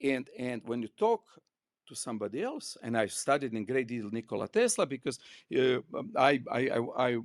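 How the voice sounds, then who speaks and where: An older man with a Croatian accent, talking as a physics professor in an interview in an emphatic, digressive way, often repeating words haltingly. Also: mid-range voice, very animated, no echo, studio quality. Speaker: physics professor, podcast guest. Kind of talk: unscripted, discursive interview talk.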